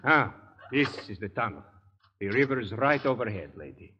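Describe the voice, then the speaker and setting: A man's voice: medium pitch, very animated, normal speed, long reverb tail, a crowd in the background, lightly acted. An actor playing a helpful, plain-spoken working-class tunnel watchman in a 1930s radio drama, matter-of-fact and folksy, on a muffled old recording.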